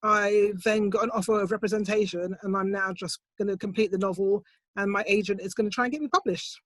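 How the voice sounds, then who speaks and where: A woman's voice: medium pitch, steady tone, no room sound. Middle aged lady poet on a video call, thoughtful, bit hesitant, dry close sound, no room at all. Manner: thoughtful, measured, with soft hesitations. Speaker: poet, competition winner. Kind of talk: online interview, reflective answers. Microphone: computer mic on a video call, set quiet.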